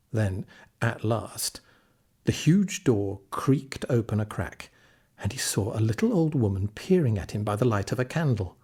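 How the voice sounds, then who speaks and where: Husky male children's storyteller. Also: fairly low pitch, a little mellow. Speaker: audiobook narrator. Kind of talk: expressive scripted storytelling for children.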